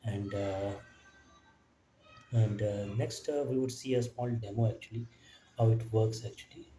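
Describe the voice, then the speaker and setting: A man giving an informal technical demo in a halting way, often tacking "actually" onto his phrases. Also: lowish voice, steady tone, mellow, slight background noise. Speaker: electronics hobbyist presenter. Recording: echoey room, distant mic, faint music.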